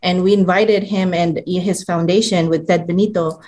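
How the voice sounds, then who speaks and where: A woman speaks as a podcast co-host. Her voice is slightly low for a woman. She recounts things in a casual, earnest way, pausing now and then to find her phrasing. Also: fairly even intonation, darkish tone, poor audio. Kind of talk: casual anecdote telling.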